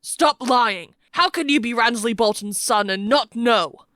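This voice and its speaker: Woman playing a forceful, threatening warrior man. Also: medium pitch, expressive, slow pace, no room sound, clean studio sound, exaggerated voice. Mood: angry demanding. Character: menacing, booming warrior man interrogating a prisoner.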